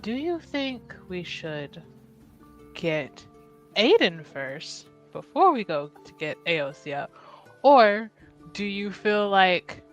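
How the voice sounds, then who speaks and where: A woman's voice: mid-range voice, sing-song, takes it slowly, soft background music. A woman playing in an online tabletop roleplaying game, laughing often and thinking aloud with hesitant questions. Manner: giggly, hesitant, talks through ideas. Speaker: player.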